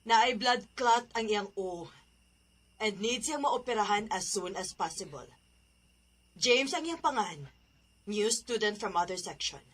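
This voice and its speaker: Woman acting a serious schoolteacher, mid-pitched. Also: lively intonation, unhurried, long pauses, poor audio. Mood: grave, concerned. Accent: Cebuano Filipino. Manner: switches between English and Cebuano, announcing. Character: serious, concerned female schoolteacher addressing class. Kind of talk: Filipino radio drama acting.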